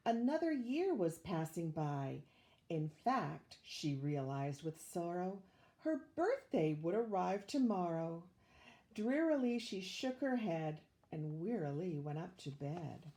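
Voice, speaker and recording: An older woman reading a rhyming children's story aloud in an expressive, measured way. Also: lowish voice. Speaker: storybook reader, retired teacher.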